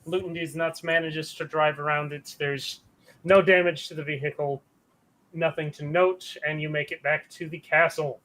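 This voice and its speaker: Male GM, higher-pitched, easygoing, narrates the scene casually. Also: normal speed, rough recording. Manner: relaxed, casual narration with fillers. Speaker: game master. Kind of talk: narrating a tabletop game.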